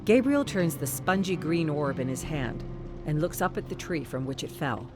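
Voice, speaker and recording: A woman's voice: low voice, expressive, normal speed, over music. A woman reading scripted story narration in the manner of an audiobook.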